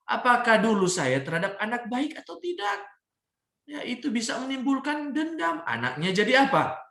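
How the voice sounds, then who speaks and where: A man with a Manado accent speaks in an echoing room, giving faith-based health advice like a preaching doctor. His delivery swings widely in pitch and is emphatic, with drawn-out key phrases. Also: very animated, sharp tone. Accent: Manado Indonesian. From Manado, North Sulawesi, Indonesia. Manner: emphatic, slows and pauses on key phrases, repeatedly checks in with "ya". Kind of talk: religious health talk, preaching. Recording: roomy, echoing sound.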